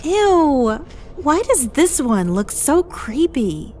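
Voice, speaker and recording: Woman playing a high, swooping young crewwoman. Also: very animated, extremely slow, a little mellow, heavily acted. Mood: alarmed. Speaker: voice actor. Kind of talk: audio drama acting.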